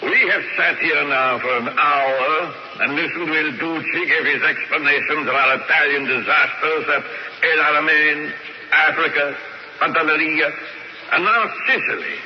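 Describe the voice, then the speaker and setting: A man's voice: fairly high pitch, close to an everyday voice. Man acting a defiant councillor, hissy old radio. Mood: impassioned, accusing. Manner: theatrical, oratorical, deliberate. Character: defiant Italian fascist councillor, grimly resolute, turning against the dictator. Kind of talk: American radio drama acting. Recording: noisy, dull old radio broadcast.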